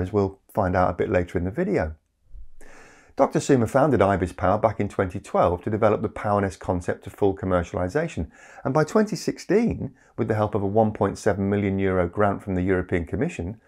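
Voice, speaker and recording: A man's voice: lowish voice, a little mellow. A man presenting a scripted explainer in a conversational way, with rhetorical asides. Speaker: video presenter. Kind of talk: scripted explainer narration to camera. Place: small indoor home study. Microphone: lapel mic.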